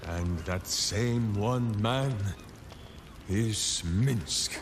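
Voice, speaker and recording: An actor playing a hearty, earnest hulking ranger in a video game, grandly speaking of himself in the third person. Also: male voice, lowish voice, very slow, noisy background. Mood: troubled, pondering. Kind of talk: theatrical video game dialogue.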